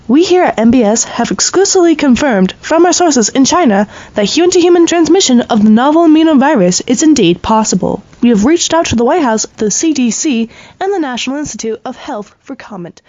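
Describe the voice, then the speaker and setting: A woman's voice: high voice, a little mellow, no room sound. A woman playing a serious, composed radio news anchor, reading scripted bulletins in an audio drama. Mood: urgent, serious. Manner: crisp newsreader delivery, serious. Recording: dull, dry, slightly lo-fi radio sound.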